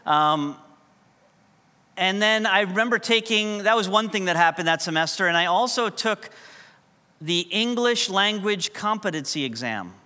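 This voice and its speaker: High bright-voiced New preacher. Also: male voice, lively intonation, normal speed. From New York. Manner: conversational, humorous storytelling. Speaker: pastor. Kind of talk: sermon. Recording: long hall reverb.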